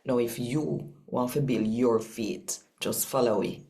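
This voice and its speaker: Jamaican woman host and scripture reader. Very deep, soft-edged mellow voice, deliberate delivery. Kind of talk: expressive scripture reading and channel talk in Patwa.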